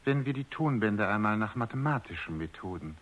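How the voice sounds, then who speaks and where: Husky, urbane self-assured male scientist. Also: lowish voice, expressive, fairly quick, a bit bright. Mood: relaxed, reassuring. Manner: jovial, wordy, patronizing. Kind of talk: radio drama acting. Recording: dull, band-limited radio recording.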